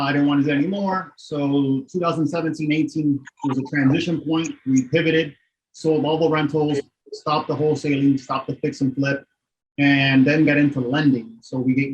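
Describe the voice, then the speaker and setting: A man's voice: a little high, dull audio, slight background noise. A middle-aged man from Miami, a real estate investor speaking as an interview guest, candid and matter-of-fact, often adding "right?". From Miami, Florida. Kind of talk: casual interview talk.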